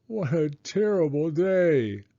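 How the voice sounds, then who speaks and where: A grumpy young storybook boy, acted out by a male reader, pitched well up. He pouts and stretches his words in a whiny, sulky way, easily upset, with very lively swoops. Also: slow pace, no echo, muffled recording, heavily acted. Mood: angry, wailing. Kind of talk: children's storybook read-aloud.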